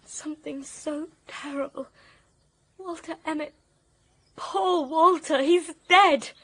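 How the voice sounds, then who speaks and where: High-pitched, distressed young woman. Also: slow, bright, forceful tone, heavily acted. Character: distressed, wounded young woman, defensive and bitter. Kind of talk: detective radio drama acting.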